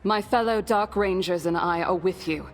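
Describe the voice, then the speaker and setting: An actress playing a dignified undead elf woman ranger in a video game cutscene, breathy, formal, with an otherworldly reverb. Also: fairly low pitch. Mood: solemn, grateful. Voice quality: breathy, husky. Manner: measured, formal. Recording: long hall-like reverb, faint music.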